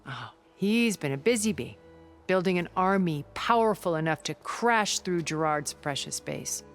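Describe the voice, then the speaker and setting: Casting: a poised, elegant immortal woman in a story-driven game, low register, measured delivery. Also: expressive, soft background music. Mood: confident. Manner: measured, elegant, genteel phrasing. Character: refined, immortal older woman, poised and persuasive. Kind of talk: cinematic video game acting.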